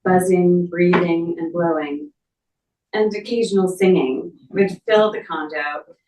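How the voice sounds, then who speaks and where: Middle-aged woman, lowish voice, memorial reader, roomy distant pickup. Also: steady tone, unhurried, darkish tone, highs cut off, slight background noise. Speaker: memorial speaker, relative of the family. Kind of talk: reading a written tribute aloud. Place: echoey indoor memorial gathering. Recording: echoey room, distant microphone.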